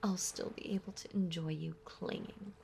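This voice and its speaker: A woman playing a possessive, lovesick mermaid monster girl in an intimate audio roleplay, breathy and often whispering, with a doting, clingy delivery. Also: lowish voice, steady tone, normal speed, darkish tone. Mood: wistful, clingy. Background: ocean ambience.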